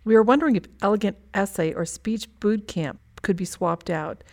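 A woman's voice: mid-range voice, normal speed, darkish tone. An American woman hosting a podcast, reading out listener questions in a conversational, agreeable way and often affirming.

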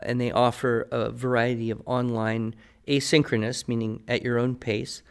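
A man's voice: mid-range voice, flat delivery, normal speed, gravelly, clear, resonant tone. Articulate American man giving advice. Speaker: podcast guest expert, writing teacher. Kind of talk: conversational advice, answering listener questions.

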